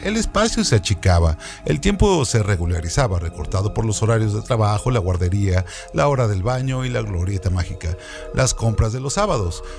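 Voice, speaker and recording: A man narrates scripted literary notes as a radio co-host, with lively swings in intonation. His delivery is rhetorical and expository. Faint music runs beneath the voice. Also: medium pitch.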